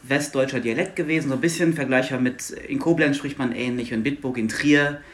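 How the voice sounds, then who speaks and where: A man's voice: mid-range voice, brisk, fairly bright tone. A man from Luxembourg whose first language is Luxembourgish, a linguist guest explaining fluently in an academic interview.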